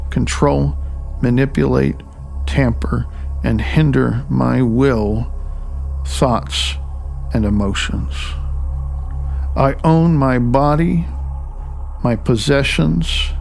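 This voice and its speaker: A man reading his own audiobook as its author, in a deliberate, emphatic way, phrase by phrase. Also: mid-range voice, gravelly. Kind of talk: audiobook narration with recited affirmations and asides.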